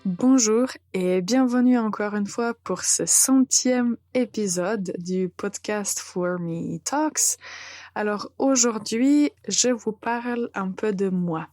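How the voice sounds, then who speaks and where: A woman in her thirties with a Serbian accent talks through a podcast lesson in a calm, deliberate way and pauses often to hesitate. She speaks very slowly into a close microphone in a dry room with no echo. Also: medium pitch, faint music behind. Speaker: French teacher and podcast host.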